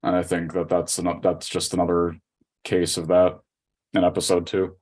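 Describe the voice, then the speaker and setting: A man with a low voice and very flat intonation speaks as a guest on a podcast. His delivery is informal and conversational, like someone casually discussing a hobby. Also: moderate pace. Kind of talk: casual podcast chat about anime.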